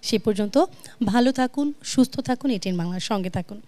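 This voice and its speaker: A woman's voice: mid-range voice, expressive, fairly quick, warm tone. A woman with a Bangladeshi accent hosting a music talk show as its television presenter, recorded in a studio. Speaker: television host.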